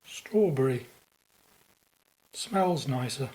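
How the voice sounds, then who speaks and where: A man's computerised speech-aid voice in a radio play, speaking in terse, flat fragments. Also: mid-range voice, extremely slow, low-quality recording.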